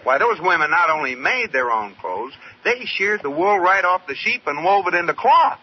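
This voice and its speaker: Animated, smug American radio husband. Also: male voice, high-pitched, moderate pace, husky, exaggerated voice. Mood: earnest. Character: earnest, needling American husband, smugly sensible. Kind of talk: 1940s radio comedy acting. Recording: muffled, hissy old radio recording.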